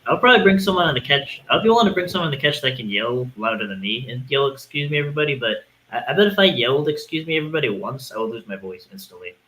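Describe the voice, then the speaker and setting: A man chatting casually in a fairly low voice. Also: expressive, very fast, hardly any pauses, bright, forceful tone, poor audio. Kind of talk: casual chat. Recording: faint background noise.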